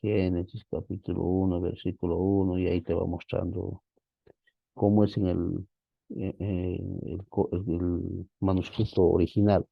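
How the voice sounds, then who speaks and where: A man teaching an online class, walking through study software on screen while thinking aloud, often tagging his sentences with a questioning "right?". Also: deep voice, little pitch movement, unhurried, vocal fry, husky, no echo, muffled recording. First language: Spanish. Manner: casual, thinking aloud, frequent "¿no?" tags. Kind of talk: screen-share software walkthrough.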